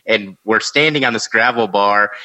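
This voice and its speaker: A man's voice: lowish voice, moderate pace, bright, forceful tone, rough recording. A man, co-hosting a casual podcast and telling fishing stories in a laid-back drawl, laughing and swearing as he goes. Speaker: podcast co-host, former fishing guide. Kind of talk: casual chat and storytelling.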